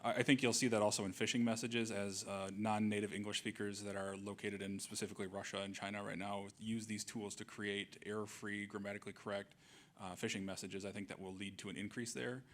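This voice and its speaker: Fast, flat-voiced man on a panel. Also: on the low side. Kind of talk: panel discussion. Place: event hall, on stage. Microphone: handheld mic.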